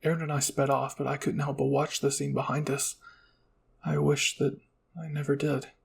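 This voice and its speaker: A man's voice: a little high, flat delivery, moderate pace, vocal fry, a little hiss or hum. A man narrating a scripted first-person roleplay story.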